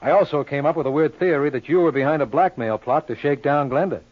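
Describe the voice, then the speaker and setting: Man acting a fast-talking hard-boiled radio sleuth. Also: on the high side, close to an everyday voice. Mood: sly, accusing. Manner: glib, sardonic, hard-boiled. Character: brash wisecracking male private lawyer-sleuth, cocky and needling. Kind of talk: 1950s radio crime drama acting. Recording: dull lo-fi old radio recording.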